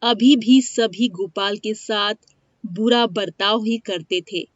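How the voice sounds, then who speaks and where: Woman narrator for moral tales, unhurried delivery, dry and slightly dull recording. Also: mid-range voice, no room sound. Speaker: storyteller. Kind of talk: scripted moral-story narration. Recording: dry, dull lo-fi studio sound.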